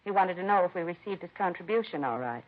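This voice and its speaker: A woman's voice: fairly low pitch, lively intonation, quick pace. An actress playing an earnest, indignant woman who runs a children's home in a 1950s radio drama, plain-spoken and heartfelt. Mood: earnest, concerned. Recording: dull vintage radio recording.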